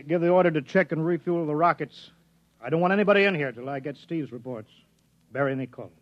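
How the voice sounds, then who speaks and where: A middle-aged man acting a gruff, anxious, guilt-ridden rocket program boss in a 1950s radio drama, speaking with urgent emphasis. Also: medium pitch, natural voice. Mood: tense, commanding. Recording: dull 1950s radio recording.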